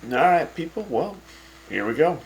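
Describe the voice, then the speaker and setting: A man's voice: fairly high pitch, very animated, moderate pace, creaky, slight background noise, rough recording. A man playing a wry, teasing wasteland radio host who chats with his guests. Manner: sarcastic, teasing, chatty.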